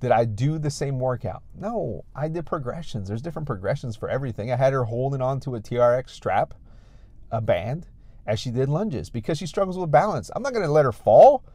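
A man's voice: mid-range voice, animated, a little fast, warm tone, dry recording. A man with an American accent speaking as a fitness coach, giving an emphatic, repetitive motivational talk straight to his online audience.

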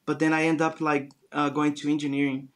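Brazilian man, forties, earnest guest, slightly high voice, Brazilian accent. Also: level tone, brisk. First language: Portuguese. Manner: earnest, storytelling, frequent restarts. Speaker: guest entrepreneur. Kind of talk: casual interview conversation.

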